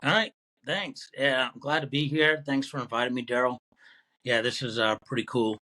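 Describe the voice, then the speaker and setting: A man's voice: mid-range voice, fairly even intonation, normal speed, bright, forceful tone. A man in his sixties with a Connecticut accent, a retired Marine officer telling his life story in an easygoing, chuckling way as a guest in an interview. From Connecticut, USA. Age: 60s. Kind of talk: casual interview conversation.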